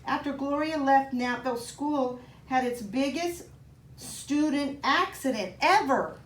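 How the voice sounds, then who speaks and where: A woman reading a children's picture book aloud in an expressive, child-directed way, with chatty asides to young listeners. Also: sits high, measured pace. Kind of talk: animated storybook read-aloud for children with casual asides. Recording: roomy echo, some background noise.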